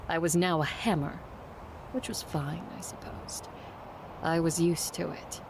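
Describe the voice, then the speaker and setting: Woman acting a low-voiced, stoic vampire warrior, noisy backdrop. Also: lightly acted. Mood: grave, resolute. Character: stoic, commanding female vampire warrior, grieving but controlled. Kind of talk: first-person audio drama narration and dialogue.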